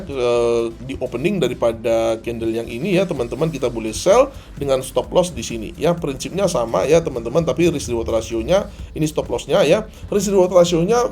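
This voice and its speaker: A slightly hoarse man giving a tutorial as a trading instructor, didactic, often asking and answering his own questions. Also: medium pitch, darkish tone, slight background noise. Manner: didactic, asks and answers own questions, addresses viewers as friends. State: hoarse, often clearing throat. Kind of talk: tutorial commentary on a chart replay.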